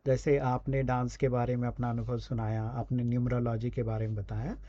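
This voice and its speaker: A man's voice: medium pitch, flat delivery, a little fast, warm tone, no room sound, highs cut off. A man with an Indian accent coaching in a conversational, explanatory way, often checking in with short questions. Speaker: voice and radio scriptwriting coach. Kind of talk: conversational online teaching.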